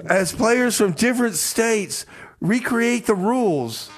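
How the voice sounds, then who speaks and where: Man playing slightly high-voiced, casual radio sidekick. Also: animated, takes it slowly. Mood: easygoing announcing. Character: hapless time machine mechanic working at a radio station. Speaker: radio co-host. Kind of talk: comedy radio theater.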